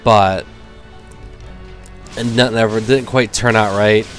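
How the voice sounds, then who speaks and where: A man's voice: lowish voice, steady tone, slow pace, fairly bright tone, faint music behind. A man in his thirties with a cold that leaves him nasal, giving rambling, self-deprecating casual gameplay commentary. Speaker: gaming commentator.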